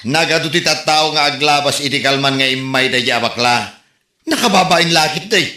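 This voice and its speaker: A man's voice: fairly high pitch, fairly quick, pushed and bright. A man playing an embarrassed, scolding village husband in a comedy drama, grumbling as he talks. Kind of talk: Ilocano comedy drama acting. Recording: echoey room with music behind.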